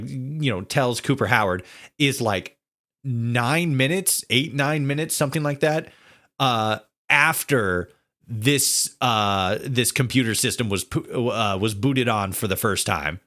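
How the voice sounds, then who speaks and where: Halting man chatting casually. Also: medium pitch, pushed and bright. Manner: halting, searching for words, repeats himself. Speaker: podcast guest, audio drama writer. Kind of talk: casual chat.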